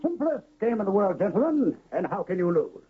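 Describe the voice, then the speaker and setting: An actor in a radio drama playing a pompous, florid traveling medicine-show pitchman who pours out a grand sales patter, on a narrow lo-fi old radio recording. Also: sing-song, brisk, soft background music. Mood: coaxing. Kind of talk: 1970s radio drama acting.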